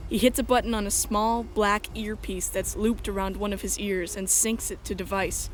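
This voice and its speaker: A woman's voice: medium pitch, steady tone, moderate pace, soft background music. A woman reading scripted first-person story narration as the narrator of a drama.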